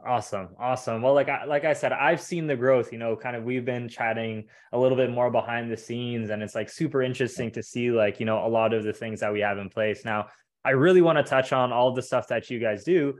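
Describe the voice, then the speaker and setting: A man's voice: medium pitch, fairly even intonation, fairly quick. A man hosting a podcast interview in a casual, chatty, informal way.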